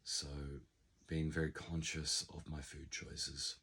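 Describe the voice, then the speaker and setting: A man with a croaky, hoarse voice, still sick, talking over his year in a reflective, unhurried vlog with many ums. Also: rumbling low, level tone, sharp tone. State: hoarse, recovering from illness. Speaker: channel creator. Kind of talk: casual vlog monologue. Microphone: unfamiliar backup microphone.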